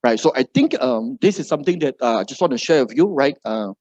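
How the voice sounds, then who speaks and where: A man's voice: medium pitch, animated, fast, darkish tone, no room sound, dull audio. A middle-aged man from Malaysia with a Malaysian English accent gives a seminar talk to a live audience, ending nearly every phrase with "right?". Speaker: motivational speaker.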